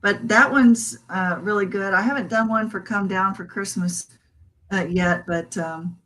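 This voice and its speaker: Woman musician, interview guest; bright, chatty, quick to laugh. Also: mid-range voice, poor audio. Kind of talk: casual interview chat.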